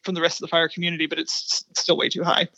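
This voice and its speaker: A woman speaking as a researcher guest in an interview, explaining findings in a measured way. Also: fairly low pitch, monotone, sharp tone, no room sound, rough recording.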